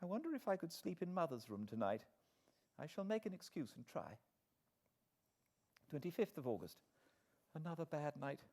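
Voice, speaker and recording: Man voicing a frail, high-pitched anxious young woman. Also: big pitch swings, normal speed, long pauses, a little mellow. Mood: weary, subdued. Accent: British. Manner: weary, plaintive. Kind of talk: dramatised audiobook diary reading.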